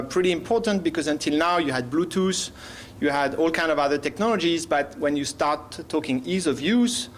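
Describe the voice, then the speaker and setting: A man's voice: a little high, a little hiss or hum. French-accented man presenting at a conference. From France. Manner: halting, many fillers and self-corrections. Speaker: panelist. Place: conference hall.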